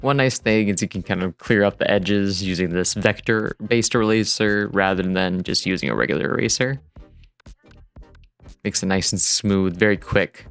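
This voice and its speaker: A man's voice: on the low side, fairly even intonation, normal speed, fairly bright tone. A man giving a casual, rambling tutorial voice-over in an informal, self-deprecating way. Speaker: digital artist narrating a dev vlog. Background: music.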